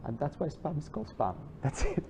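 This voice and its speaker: A man giving an informal classroom lecture, chatty and digressive, with many halting restarts and asides. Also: fairly high pitch, wildly swooping pitch, warm tone, slight background noise. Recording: dull lo-fi classroom recording.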